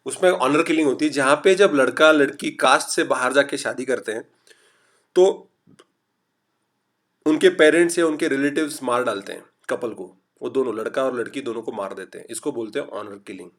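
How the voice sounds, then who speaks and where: A man's voice: mid-range voice, fairly bright tone. A man from Maharashtra with a slight Marathi accent, teaching a live online class in a chatty style and mixing in English. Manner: chatty, reads out students' typed replies; urges quick answers, mixes English. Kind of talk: online lecture.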